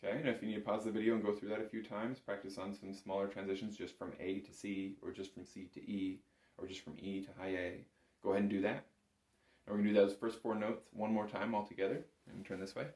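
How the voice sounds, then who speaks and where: A man with a low voice teaches music informally in a roomy, echoing space. He explains each step conversationally, in an encouraging and modest manner. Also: little pitch movement, fast talker, a little mellow. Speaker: bagpipe instructor. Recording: roomy, echoing indoor sound.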